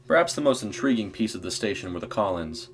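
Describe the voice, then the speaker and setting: A man reading a scripted eerie story as narrator, in a measured, dramatic storytelling manner. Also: lowish voice, animated, a little hiss or hum.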